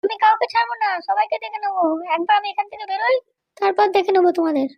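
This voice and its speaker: Woman playing bossy, indignant cartoon lady politician, tiny childlike voice, extremely high, rapid scolding delivery. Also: lo-fi sound. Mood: vengeful. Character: haughty, threatening female political boss held in jail. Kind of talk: Bengali comedy cartoon dialogue.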